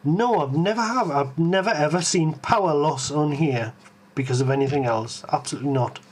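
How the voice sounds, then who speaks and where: A man's voice: fairly high pitch, moderate pace, slightly rough. A man with a Geordie accent hosting a live tech stream, musing aloud with lots of ums and restarts as he answers viewers. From Tyneside, England.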